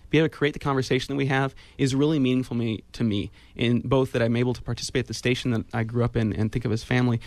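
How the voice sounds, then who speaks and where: Fast-talking man hosting a radio pledge drive. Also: medium pitch, no echo. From California, United States. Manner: earnest, rambling, repeats phone number. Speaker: radio show host. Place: radio studio. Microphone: studio microphone.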